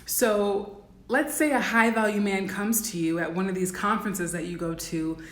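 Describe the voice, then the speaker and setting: A woman with a raspy voice giving blunt, instructive coaching advice in a casual livestream talk, full of "um" and "like". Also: medium pitch, normal speed, roomy sound. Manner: blunt, instructive, many "um" and "like" fillers. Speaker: dating and life coach.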